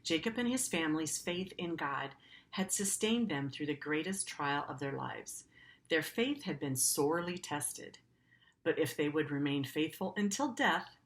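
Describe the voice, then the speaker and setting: Low-voiced woman teacher reading aloud. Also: a little slow. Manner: earnest, explains words simply as to children. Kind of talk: story read-aloud with informal explanatory asides.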